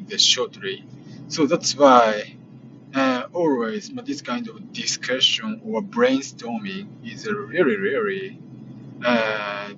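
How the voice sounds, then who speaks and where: Japanese-accented man, young adult, vlog diary; tired, halting, lots of "uh"s; dull recording with steady noise. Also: mid-range voice, gravelly. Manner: hesitant, many fillers and self-corrections. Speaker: vlogger. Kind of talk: casual spoken diary. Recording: muffled lo-fi with background noise.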